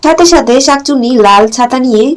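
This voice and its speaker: A woman's voice: a little high, quick pace. A woman giving scripted narration for a cartoon story as its storyteller.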